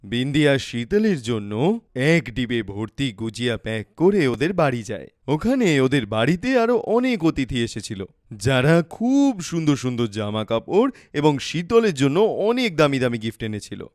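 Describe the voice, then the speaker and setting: A man's voice: mid-range voice, big pitch swings, normal speed, a little mellow, no echo, studio quality. A man narrating a scripted children's cartoon story.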